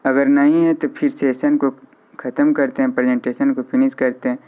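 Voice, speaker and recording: A man's voice: medium pitch, little pitch movement, moderate pace, a little mellow, clean tone. A man with an Indian accent giving an informal live online lecture, repeating his phrases and mixing in English technical terms. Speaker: physiotherapy lecturer hosting a live online class. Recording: slightly dull, band-limited audio.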